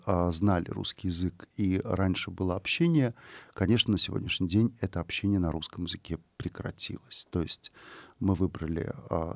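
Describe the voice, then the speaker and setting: A middle-aged man giving measured, thoughtful interview answers. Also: sits low, gravelly, dry recording. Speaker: guest.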